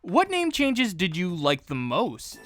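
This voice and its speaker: A man presenting scripted video commentary as host, with an expressive, emphatic delivery. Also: high voice, takes it slowly. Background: background music.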